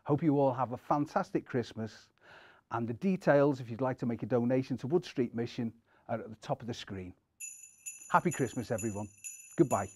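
A middle-aged man reading a children's story aloud in an expressive storytelling style. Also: mid-range voice, a little fast, husky. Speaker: story reader.

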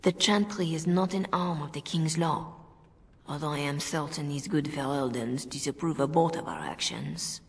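Revered mother, very low soft voice, solemn and persuasive game dialogue in a reverberant hall. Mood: calm, formal. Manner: measured, solemn. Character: composed, earnest Orlesian revered mother, gently persuasive. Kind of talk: video game cutscene acting. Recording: long reverberant hall echo.

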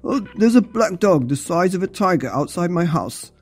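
A woman's voice: a bass voice, steady tone, very slow, dark tone, husky, exaggerated voice. A woman voicing an alarmed, flustered father in a children's storybook, exclaiming as he speaks.

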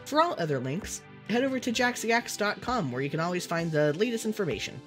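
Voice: medium pitch, very animated, fairly quick, a bit bright. A podcast host reading a scripted outro of thanks and links. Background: faint music.

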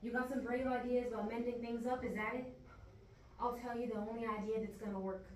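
A woman's voice: fairly high pitch, steady tone, brisk, close to an everyday voice. A woman playing a paranoid, volatile, reclusive brother in a stage scene, speaking in an agitated, insistent way. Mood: confrontational. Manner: agitated, pleading, insistent, repeating phrases. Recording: echoey room, distant mic, faint noise.

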